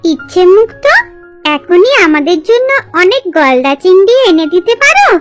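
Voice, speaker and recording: A woman voicing an eager, bossy little child in a children's cartoon, with urgent, cheeky delivery over loud music. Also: extremely high pitch, big pitch swings, normal speed.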